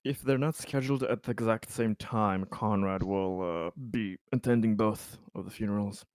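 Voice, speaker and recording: A man's voice: fairly low pitch, moderate pace, a little mellow, dry recording, clean studio sound. A man playing a tabletop game who talks playfully and laughs while he speaks. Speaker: player. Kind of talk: casual chat in a tabletop game.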